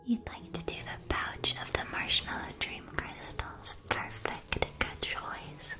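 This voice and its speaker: A woman playing a friendly, sweet magical candy shop keeper in a whispered ASMR roleplay, with a soft, unhurried and gently enthusiastic manner. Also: natural voice. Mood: helpful, soft.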